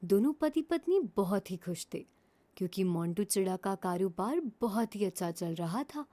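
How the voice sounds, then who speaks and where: A woman's voice: medium pitch, animated, moderate pace, dark tone. A woman narrating a scripted children's story as a storyteller.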